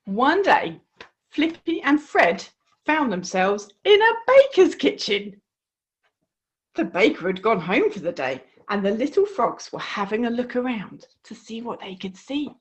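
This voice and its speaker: A woman reading her children's storybook aloud in an expressive, encouraging way. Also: fairly high pitch, a little slow. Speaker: author reading her own book.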